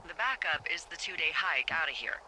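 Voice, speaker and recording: Wry, easygoing American woman lookout supervisor, low-voiced, naturalistic game dialogue over noisy walkie-talkie. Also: lively intonation, brisk, pushed and bright, heavily acted. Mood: businesslike. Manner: dry, teasing, conversational. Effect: walkie-talkie radio. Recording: noisy radio with ambience.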